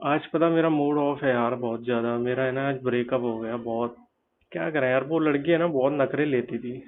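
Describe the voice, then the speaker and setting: A man's voice: medium pitch, moderate pace, lightly acted. A man playing a lovelorn young adult, confiding in a casual, hesitant, rambling way over a phone line. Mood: downcast. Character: sulky lovelorn young man confiding about his breakup. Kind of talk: casual phone chat, staged call. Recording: narrow, tinny phone-line sound.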